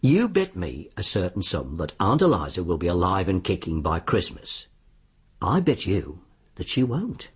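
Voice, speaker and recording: An actor playing a suave, sly disbarred lawyer in a radio drama, with a British accent and an insinuating, politely formal way of talking. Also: male voice, low-pitched, huge pitch swings, normal speed, slightly rough, exaggerated voice. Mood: businesslike, sly. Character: suave, sly disbarred lawyer arranging sinister bets on deaths.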